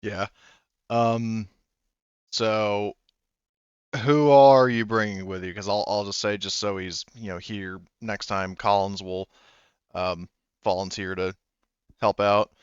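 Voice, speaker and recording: A man's voice: lowish voice, flat delivery, slow pace, pauses often, smooth, no room sound, dull audio. A man running a tabletop game as game master, explaining rules in a rambling way full of fillers.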